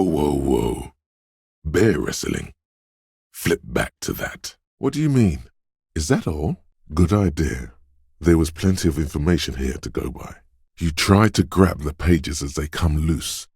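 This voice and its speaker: A man voicing an alert, streetwise inner voice of quick reflexes in a video game, narrating dramatically and knowingly to the listener, very deep, gravelly and husky. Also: a little mellow, no room sound, studio quality, heavily acted. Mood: cool, streetwise. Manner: knowing, conspiratorial, addresses listener as you.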